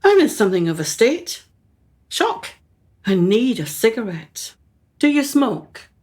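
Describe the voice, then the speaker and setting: A woman's voice: on the high side, very animated, a little slow, darkish tone. An actress playing a haughty, self-absorbed upper-class mother in a radio play, with a brusque, imperious manner and clipped phrases. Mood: flustered, imperious.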